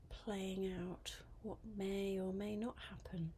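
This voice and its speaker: Slow, softly whispery woman guiding meditation. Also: mid-range voice, level tone, slight background noise. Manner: soft, breathy, half-whispered delivery; gentle, unhurried, with long pauses. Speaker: meditation guide. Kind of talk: guided mindfulness meditation.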